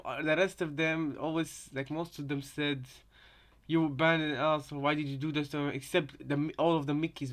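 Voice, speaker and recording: A man chatting casually as a reaction co-commentator, rambling and circling back over his own points. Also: on the high side. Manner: rambling, repeats points, often adds "you know". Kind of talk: casual chat, speculative commentary.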